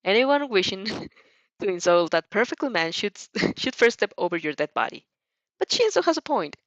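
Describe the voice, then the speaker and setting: A woman reading fan fiction aloud expressively, in an animated amateur way, stumbling over and repeating words. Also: mid-range voice, normal speed, no room sound, dull audio.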